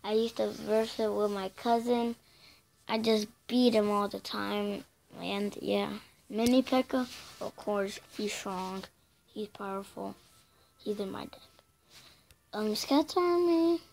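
Raspy woman channel host, slow and hesitant, mumbling asides between louder bursts. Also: medium pitch, low-quality recording. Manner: halting and filler-heavy, drifting between loud bursts and mumbles. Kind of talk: casual game commentary over a screen recording.

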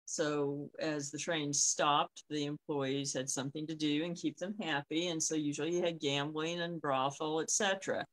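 A middle-aged woman telling stories casually on a video call, often pausing mid-thought. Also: deep voice, steady tone, poor audio. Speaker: co-host. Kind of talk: casual conversational storytelling. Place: small room at home, on a video call. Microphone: device mic on a video call.

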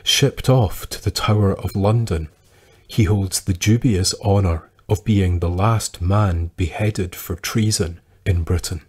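A man reading scripted historical tales as a podcast narrator, measured and with dramatic pauses. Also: sits low, darkish tone, slightly rough.

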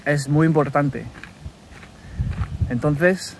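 A man in his thirties from England, his native tongue English, talking to himself in a halting, self-correcting way while walking a wooded path, filming on a phone held at arm's length. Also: fairly high pitch, noisy background. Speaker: language learner recording a practice podcast. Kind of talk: casual spoken practice monologue. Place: wooded countryside path outdoors.

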